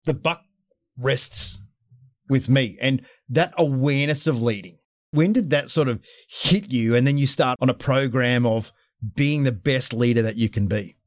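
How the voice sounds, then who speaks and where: A man's voice: medium pitch, measured pace, dry recording, studio quality. Affable male podcast host. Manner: friendly, affirming interviewer. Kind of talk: conversational podcast interview.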